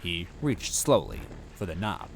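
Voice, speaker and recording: A man's voice: low voice, lively intonation, unhurried. A man narrating a story aloud in a dramatic first-person style.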